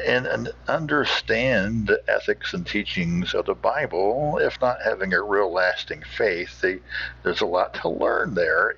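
A man hosting with informal, rambling commentary in a folksy, halting manner. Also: deep voice, creaky, sharp tone, husky. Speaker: podcast host. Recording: dull, muffled recording.

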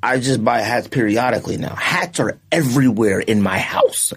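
A man co-hosting a podcast in casual chat, arguing in an animated, slangy way. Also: medium pitch, moderate pace, husky. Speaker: podcast co-host.

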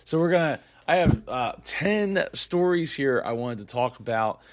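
A man's voice: medium pitch, animated, measured pace, no room sound. A man hosting a business news show, reading headlines and commenting with many restarts while losing his voice. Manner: rambling, many restarts and fillers. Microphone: close mic.

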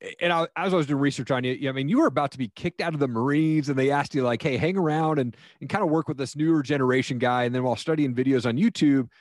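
A man's voice: medium pitch, quick pace, few pauses, no echo, clean studio sound. An American man hosting a casual interview in an informal, encouraging, buddy-like way. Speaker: podcast host, interviewer.

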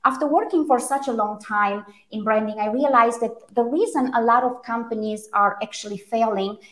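A woman's voice: fairly high pitch, moderate pace, fairly bright tone. A woman from Romania with a Romanian accent, a native Romanian speaker, giving articulate, emphatic interview answers about her own work. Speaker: interview guest, brand strategist. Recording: echoey room.

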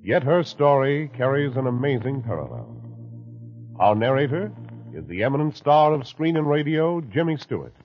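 A man's voice: mid-range voice, big pitch swings, normal speed, lo-fi sound. A man announcing in scripted 1940s radio style on an old broadcast.

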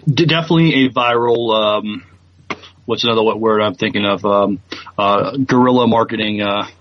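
A man co-hosting a podcast, reading news and chatting in a halting way, stumbling over words. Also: lowish voice, lo-fi sound, slight background noise. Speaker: podcast co-host.

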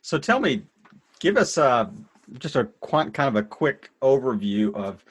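Brief: male interview host, mid-pitched, relaxed and joking. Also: measured pace, slightly rough. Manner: conversational, brief backchannels, jokey asides. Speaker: podcast host. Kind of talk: casual interview questions.

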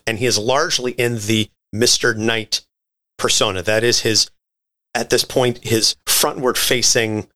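A man's voice: medium pitch, sharp tone, clean tone. A man co-hosting a podcast, explaining comic book history in a conversational, articulate and wordy way. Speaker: podcast co-host.

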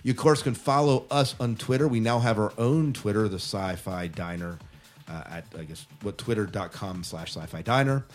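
A man's voice: fairly low pitch, normal speed, soft background music. A man hosting a podcast in a chatty, informal, casual way. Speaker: podcast host and interviewer.

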